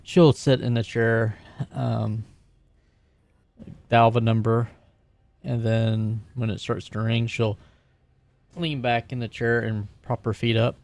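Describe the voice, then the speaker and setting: A man's voice: mid-range voice, flat delivery, slow pace, vocal fry, pauses often, darkish tone, no echo. A man playing a tabletop roleplaying game, casually narrating a character's actions while thinking aloud in a hesitant way.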